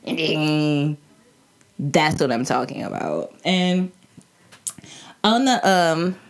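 Woman podcast host, New Orleans accent, lowish voice with lively swings, casual slangy album review. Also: slow. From New Orleans area. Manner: chatty and animated, frequent restarts and slang.

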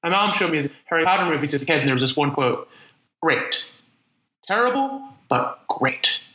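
A man acting in a radio play as a lonely, weary survivor of a disaster, musing aloud in a halting, conversational monologue. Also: on the high side, lively intonation, bright, forceful tone, reverberant, poor audio. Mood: indignant, reflective. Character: lonely, weary, reflective male survivor wandering after disaster. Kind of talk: dramatic monologue, spoken like an audio diary.

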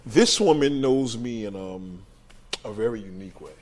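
Animated New York man hosting. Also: fairly low pitch, moderate pace. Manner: animated, slangy, teasing. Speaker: host. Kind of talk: casual interview chat.

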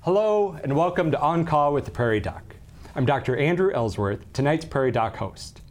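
Lively male health show host. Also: medium pitch. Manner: welcoming, asks simple guiding questions. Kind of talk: hosting a medical interview.

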